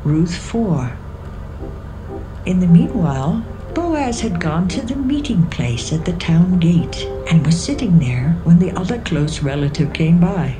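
A woman narrating scripture from a script in a measured storytelling manner. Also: on the low side, very animated, low-quality recording. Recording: music behind the voice.

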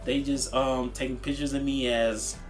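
Young man, medium pitch, casual vlogger, halting and repetitive delivery, unscripted, music in the background. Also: level tone. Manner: halting, repetitive, stumbling over words. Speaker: vlogger showing convention purchases. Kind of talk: casual unscripted vlog chat. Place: small cluttered room at home, indoors.

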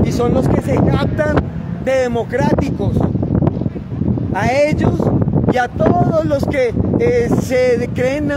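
A man, giving an impassioned, declamatory political speech to his phone camera outdoors. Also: very high voice. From Colombia. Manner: declamatory, emphatic, rhetorical lists. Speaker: activist. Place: outdoors on a wide open plaza with traffic. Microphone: phone camera at arm's length. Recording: loud wind noise on phone mic.

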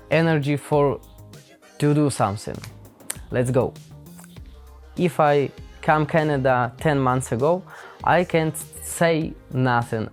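A young man from Ukraine with a Ukrainian accent, speaking unscripted to camera in a halting, hesitant way, with many pauses and fillers. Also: on the high side. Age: young adult. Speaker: vlogger. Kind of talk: unscripted casual talk to camera. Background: faint guitar music.

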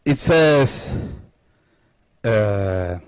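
Middle-aged argentinian guy giving a talk, halting english with lots of uhs, really slow, handheld mic in a big hall. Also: on the low side, lively intonation. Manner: halting non-native English with many fillers and restarts. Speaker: conference presenter. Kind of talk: conference talk. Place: large lecture hall stage. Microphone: handheld microphone.

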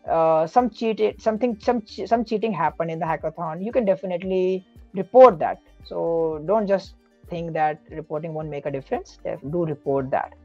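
A man's voice: high-pitched, no echo. An earnest man explains things step by step as a podcast guest, often restarting and correcting himself mid-sentence. His voice is dry and close, with no room sound, and slightly muffled, with faint music behind. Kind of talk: casual podcast advice.

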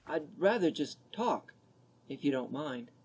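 Man playing an eager, husky-voiced teenage boy. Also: a little high, sing-song, unhurried, a little mellow, exaggerated voice. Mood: polite, pleased. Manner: eager, boyish. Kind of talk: amateur radio drama acting.

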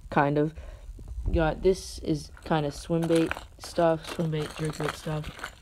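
A man's voice: fairly high pitch, flat delivery, slow pace. A man casually showing his fishing tackle, hesitant and rambling, with unsure asides, recorded on a phone in a carpeted room at home. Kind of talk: casual unscripted show-and-tell. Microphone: phone camera held over the gear. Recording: phone recording with clear background noise.

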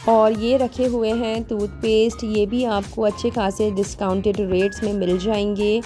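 A woman's voice: little pitch movement, normal speed, dark tone, soft background music. A woman, giving an upbeat, chatty walkthrough of store deals as a vlogger. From Pakistan. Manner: chatty, upbeat sales pitch. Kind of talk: grocery store walkthrough narration.

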